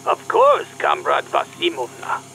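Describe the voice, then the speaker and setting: Creaky scientist. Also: male voice, on the high side, fairly even intonation, measured pace, pushed and bright, a little hiss or hum, full character voice. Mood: smug, eager. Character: eccentric Soviet KGB scientist, pleased with his test subject. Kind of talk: radio drama acting.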